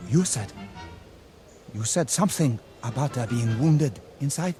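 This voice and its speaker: A man's voice: medium pitch, animated, measured pace, warm tone, natural voice. Man playing an emotional, compassionate army lieutenant. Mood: weary, pensive. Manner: hesitant, pleading, earnest. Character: weary, compassionate, troubled male army lieutenant. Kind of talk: radio drama acting. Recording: dramatic music under the voice.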